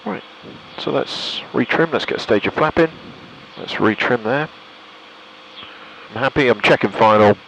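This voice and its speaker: A man's voice: medium pitch, measured pace, creaky, long pauses, fairly bright tone, slight background noise, poor audio. A British man giving a casual commentary from a light aircraft cockpit, thinking aloud and making radio calls into a headset. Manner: thinks aloud while concentrating. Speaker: pilot and vlogger.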